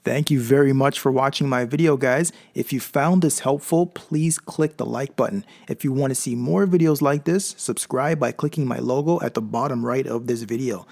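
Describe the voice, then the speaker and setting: A man with a mellow voice hosting a tech channel, reading scripted tutorial narration. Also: medium pitch, normal speed, gravelly, few pauses.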